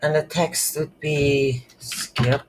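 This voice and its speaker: A tutorial instructor thinking aloud in a halting, unscripted way while demonstrating game development on a computer. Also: mid-range voice, fairly even intonation. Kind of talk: unscripted screen-recorded software tutorial. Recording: background hiss, keyboard typing noise.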